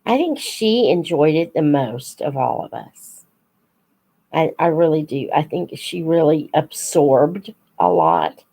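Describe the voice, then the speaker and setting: A woman hosting a casual live chat, unhurried and thinking aloud as she talks to her viewers. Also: low voice, very animated, mellow, breathy edge. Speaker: host of a live book Q&A, teacher-like reader.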